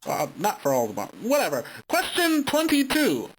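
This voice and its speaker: A man voicing a smarmy male game-show host inside an animated screen, with a mocking, theatrical delivery. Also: extremely high pitch, moderate pace, a little hiss or hum, pitched above the performer's own voice, full character voice, rough recording. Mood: game-show taunting.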